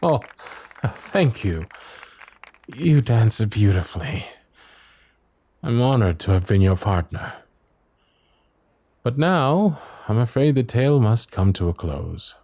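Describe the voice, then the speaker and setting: A man playing a gentle, dutiful young knight of the royal guard in a romantic fantasy audio roleplay, with soft, breathy speech and formal, courtly, hesitant phrasing. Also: fairly low pitch, big pitch swings, takes it slowly, pauses often, exaggerated voice. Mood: tender, charmed.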